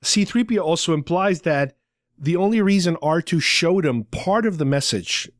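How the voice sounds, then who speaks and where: A man's voice: a little high, animated, normal speed, no echo, studio quality. A man hosting a podcast, casually retelling a story in a chatty fan discussion style. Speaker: podcast host.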